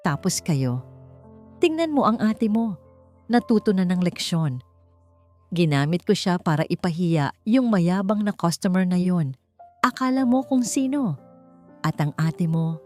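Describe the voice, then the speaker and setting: Woman voicing an arrogant, bullying male boss, low-pitched. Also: a little mellow. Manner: arrogant, taunting. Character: boastful bullying male restaurant boss, gloating and menacing.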